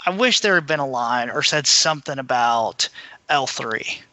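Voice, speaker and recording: A man's voice: mid-range voice, animated, moderate pace, sharp tone, no room sound. A man co-hosting a podcast, talking casually as a fan and putting questions to the others. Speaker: podcast co-host.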